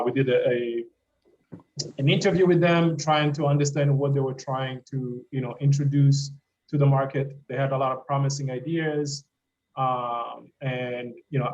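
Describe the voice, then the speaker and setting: A man hosting an interview over a video call, rambling with plenty of "you know" and "uh". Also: medium pitch. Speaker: host. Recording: dull call audio with faint hiss.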